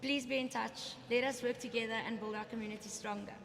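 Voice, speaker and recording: A hoarse woman presenting awards in a formal, emphatic, measured speech at a dinner. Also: a little high, fairly even intonation, a bit bright, a little hiss or hum. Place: indoor banquet hall. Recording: echoey hall with background chatter.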